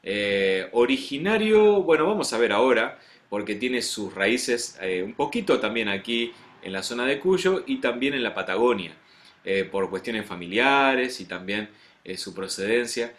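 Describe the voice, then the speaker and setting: A man's voice: medium pitch, expressive, unhurried, a bit bright. A man with an Argentine accent hosting an interview program as a pastor, greeting viewers in a friendly way and questioning his guest. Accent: Argentine Spanish. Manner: friendly, addresses viewers directly.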